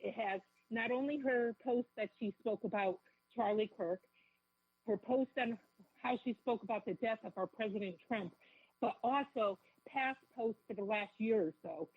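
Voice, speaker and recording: A woman with a cold and a raspy voice, calling in as a radio guest and speaking fervently and quickly. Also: fairly high pitch, no echo. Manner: fervent, rapid, repeats herself. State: has a cold. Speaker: caller guest. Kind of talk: phone-in talk radio interview. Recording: tinny phone line.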